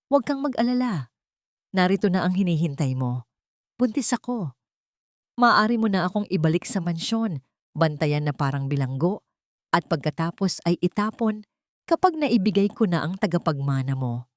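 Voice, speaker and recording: A woman's voice: fairly low pitch, very animated, normal speed, long pauses, no room sound, studio quality. Wounded, defiant young Filipino woman, voiced by a narrator. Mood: bitter, accusing. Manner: accusing, emotional.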